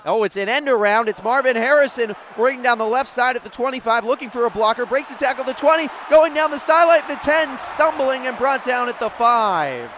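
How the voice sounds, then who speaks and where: High-pitched man calling live sports. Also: animated, a bit bright. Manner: swings from measured setup to urgent calls. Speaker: play-by-play sports announcer. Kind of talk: live radio play-by-play commentary. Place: outdoor stadium broadcast position. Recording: background noise of an outdoor stadium.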